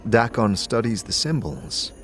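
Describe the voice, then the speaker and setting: A man's voice: fairly low pitch, sing-song, unhurried, a bit bright. A man narrating a scripted fantasy audio drama in the second person, as a game master would. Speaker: narrator and game master, also voicing the lead character. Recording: music under the voice.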